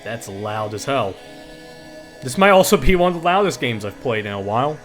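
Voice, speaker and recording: A man's voice: mid-range voice, sing-song, normal speed, fairly bright tone. A man giving casual, offhand let's play commentary on a video game, swearing now and then. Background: game music.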